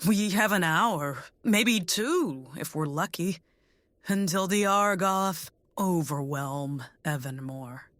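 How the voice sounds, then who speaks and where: Commanding female general, played by an actress for fantasy audio drama: low voice, measured pace, blunt urgency. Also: animated, a bit bright, no echo. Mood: urgent.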